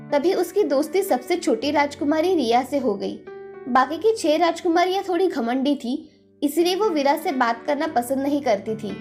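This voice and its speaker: A woman's voice: high voice, darkish tone. A woman storyteller giving scripted narration of a children's fairy tale. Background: faint background music.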